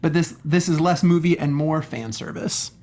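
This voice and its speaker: A man's voice: on the high side, moderate pace, darkish tone. Male co-host for loose review banter, emphatic and slangy, tends to repeat himself for stress. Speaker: co-host of a movie review show. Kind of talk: casual review chat.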